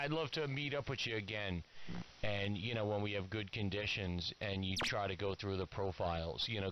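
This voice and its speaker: A man's voice: lowish voice, fairly bright tone, low-quality recording. A man from New York talking casually as an amateur radio operator over shortwave, chatty and rambling. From New York, USA. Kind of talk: casual ham radio exchange. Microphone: transmitted over AM shortwave radio. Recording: hissy AM shortwave radio transmission.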